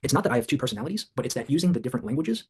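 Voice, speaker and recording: A man's voice: mid-range voice, very animated, racing, darkish tone, husky. A man born in China hosting a casual group workshop, enthusiastic and saying "like" a lot. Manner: enthusiastic, says "like" often. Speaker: workshop host.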